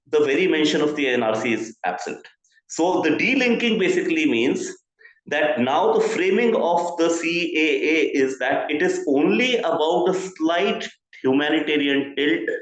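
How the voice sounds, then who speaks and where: High-voiced Indian man in an echoey room. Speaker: journalist explaining policy. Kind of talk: explanatory news analysis.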